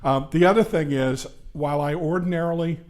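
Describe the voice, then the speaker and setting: A man in his sixties presenting a legal seminar at a conference, talking loudly and quickly with frequent "uh" fillers. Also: fairly high pitch. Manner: loud, brisk, conversational lecturer with frequent "uh" fillers. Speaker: labor law attorney presenting a seminar. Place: conference session room. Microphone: handheld or stand microphone.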